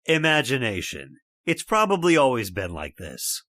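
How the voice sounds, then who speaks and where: A man's voice: medium pitch, huge pitch swings, moderate pace, dry recording, studio quality. An actor playing a stubborn, scoffing middle-aged father with a brash manner, in old-time radio drama style. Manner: brash, scoffing, loud laughs.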